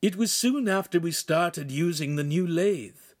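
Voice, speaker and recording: Man putting on an excitable, high-pitched nervous little imp. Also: unhurried, no room sound, clean studio sound. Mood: dejected, anxious. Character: nervous, quirky magical shape-shifting imp with a tail, speculative.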